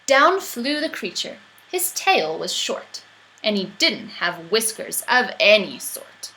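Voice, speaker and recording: A woman reading a children's story aloud as an expressive narrator. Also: mid-range voice, takes it slowly, poor audio. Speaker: storybook reader.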